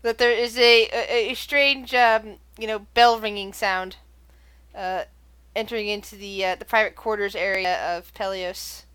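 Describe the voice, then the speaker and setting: A woman narrating a tabletop game as game master, searching for words with many pauses and fillers. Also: medium pitch, steady tone, fairly bright tone, smooth. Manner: hesitant, many fillers and restarts.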